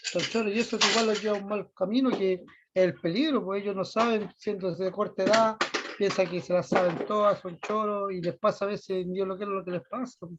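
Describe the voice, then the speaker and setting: Man, Chilean accent, high voice, muffled noisy line, plain-spoken. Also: fairly even intonation, fairly quick. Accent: Chilean Spanish. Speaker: adult student. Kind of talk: class discussion.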